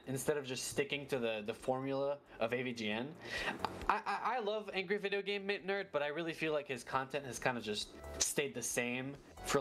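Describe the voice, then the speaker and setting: Man chatting as a guest. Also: medium pitch. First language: French. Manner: animated, repeats words while thinking. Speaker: guest content creator. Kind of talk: casual interview chat.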